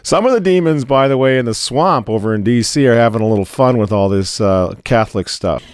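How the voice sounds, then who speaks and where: A man, hoarse and raspy from a cold, giving sarcastic commentary on religion and politics as an editor. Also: mid-range voice, brisk, no room sound, studio quality. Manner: sarcastic, mocking asides. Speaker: newspaper editor hosting commentary.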